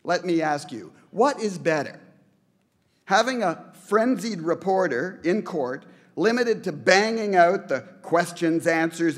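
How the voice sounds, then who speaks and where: A middle-aged man from Canada giving an earnest acceptance speech at a podium in a large banquet hall, stressing his points with repeated phrases. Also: a little high. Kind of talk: prepared speech read at a podium. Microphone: podium microphones.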